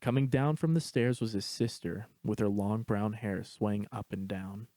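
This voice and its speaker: Low-voiced male story narrator. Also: normal speed, dark tone, no room sound.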